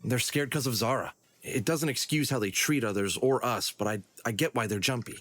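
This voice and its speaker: A man's voice: medium pitch, a little fast, a bit bright, dry recording, close to an everyday voice. A man playing an earnest, upbeat, scheming leader of adventurers in a comedy audio drama. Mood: troubled.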